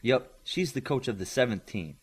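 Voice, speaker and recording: A man voicing a smart, assertive, chatty teenage girl in an audiobook, explaining things briskly and matter-of-factly. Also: mid-range voice, expressive, breathy edge. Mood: eager, informative.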